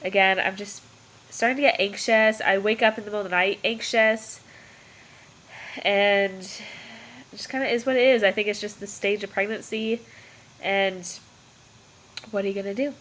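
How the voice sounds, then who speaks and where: A young woman with a cold talks from her bedroom into a laptop's built-in mic, her voice nasal and stuffed up. Her tone is bright and a little sharp, and she rambles cheerfully, laughing between thoughts. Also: mid-range voice, steady tone, normal speed, pauses often. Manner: chatty, rambling, laughs often. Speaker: vlogger. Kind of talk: casual pregnancy update vlog. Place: bedroom, indoors. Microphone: built-in computer mic. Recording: lo-fi laptop recording.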